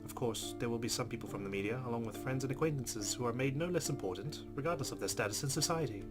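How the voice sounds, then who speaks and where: Guy reading game story out loud, normal pitch, casual little asides, clear music in the back. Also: fast talker. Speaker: let's play host. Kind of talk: reading game narration aloud with casual commentary. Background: guitar game music.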